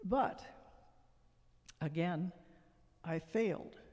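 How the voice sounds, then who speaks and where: A scornful, patient spirit of doubt as a church reader acts it out delivers a dramatic monologue. The pace is slow and deliberate, with a relentless temper. Long hall reverb surrounds the voice. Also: medium pitch, a little mellow. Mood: defiant, bitter. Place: church sanctuary.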